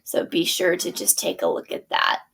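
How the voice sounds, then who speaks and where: A woman's voice: on the low side, huge pitch swings, normal speed, gravelly, fairly bright tone, breathy edge. A woman theater teacher giving a friendly instructional walkthrough of her curriculum over a screen share, with frequent ums and small self-corrections.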